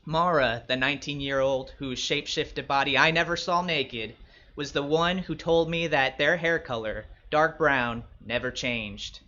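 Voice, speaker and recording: A man's voice: a little high, measured pace, pushed and bright, dull audio. A man reading a first-person short story as a wary young male escort of the 1960s, wry and blunt. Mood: wry, reflective.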